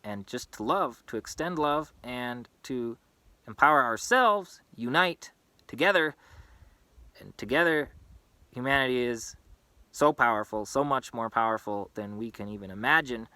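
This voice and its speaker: A man's voice: medium pitch, sharp tone. A man who grew up in East Africa, talking in an earnest, impassioned, unscripted monologue to his own channel. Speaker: vlogger.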